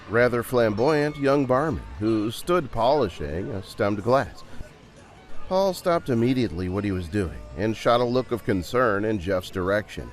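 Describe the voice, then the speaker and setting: A man narrating a dramatised storybook adventure in a theatrical, dramatic way. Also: medium pitch.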